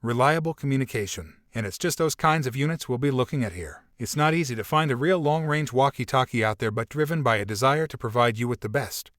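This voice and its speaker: A man's voice: mid-range voice, lively intonation, fast talker, hardly any pauses, fairly bright tone, no echo. A man delivers scripted review narration in a mid-range voice. The recording is dry and close, with no room sound around the words.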